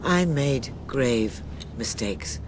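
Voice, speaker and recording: A woman voicing an ancient seer who tells old history in a grave, measured way. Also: extremely low pitch, expressive, faint music behind, heavily acted. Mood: solemn. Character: ancient female seer, solemn and guiding. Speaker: narrator. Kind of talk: game cutscene narration. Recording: loud game score behind.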